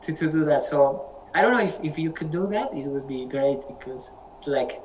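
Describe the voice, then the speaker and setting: Colombian man moderating, halting and repetitive. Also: fairly high pitch, animated, a little hiss or hum, low-quality recording. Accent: Colombian Spanish. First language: Spanish. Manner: friendly, halting with frequent word repeats. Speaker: meeting moderator. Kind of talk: casual online group chat. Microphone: video call.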